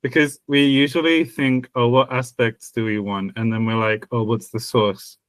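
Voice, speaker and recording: A man's voice: mid-range voice, little pitch movement, moderate pace, a bit bright, dry recording. A man co-hosting a podcast, chatting casually about language, rambling with many restarts and laughing at himself. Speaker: podcast co-host.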